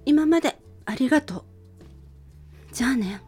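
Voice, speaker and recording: A sweet young college girl acted by a woman speaks as a girlfriend in a radio drama. She sounds earnest and girlish, lifted in pitch, with music beneath her voice. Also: lively intonation, moderate pace, a little mellow. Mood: sad, resolute.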